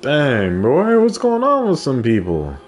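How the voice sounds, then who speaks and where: A man's voice: mid-range voice, wildly swooping pitch, unhurried. A man casually commenting while playing a video game, joking and reading the game text aloud. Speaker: gameplay streamer. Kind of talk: casual let's-play commentary. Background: game music.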